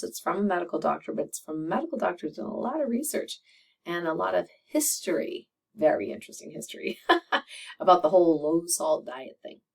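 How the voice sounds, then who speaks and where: A middle-aged woman with a slightly raspy voice, a doctor chatting to camera, laughing often and using many fillers. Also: on the low side, distant mic. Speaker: medical doctor and video host. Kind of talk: casual monologue to camera.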